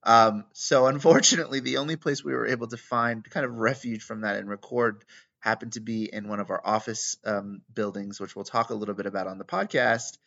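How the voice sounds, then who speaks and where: A man hosting a podcast interview in a conference room, chatty with many ums and pauses. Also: fairly low pitch, fairly even intonation, gravelly, fairly bright tone. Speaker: podcast host. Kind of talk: casual interview. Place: conference room with poor acoustics. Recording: lo-fi, dull sound.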